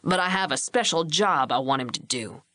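A woman's voice: low-pitched, animated, moderate pace, fairly bright tone, dry recording, clean studio sound, pitched below the performer's own voice. A woman voicing an older male ranch patriarch in a storybook, scheming and firm with easy authority. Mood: commanding.

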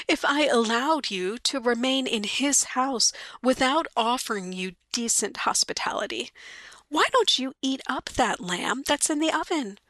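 Sweet soft widow-type lady pleading gently, woman reading her, high and bright, slow drawn-out words. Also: dry recording. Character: soft-spoken grieving housewife, sweetly persuasive.

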